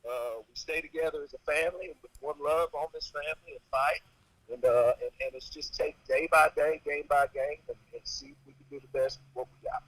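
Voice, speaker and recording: A man, a high school football coach, giving a live interview in a halting manner with repeated phrases. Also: fairly bright tone, no room sound, poor audio. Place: on a bus, calling in remotely.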